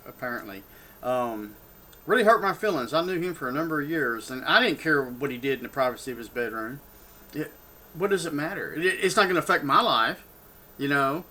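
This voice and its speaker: A man's voice: on the high side, pushed and bright, a little hiss or hum. An older man with a Texan accent, ranting off the cuff on his own channel, rambling and heated, with many restarts and asides. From Texas. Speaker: political vlogger. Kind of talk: off-the-cuff rant.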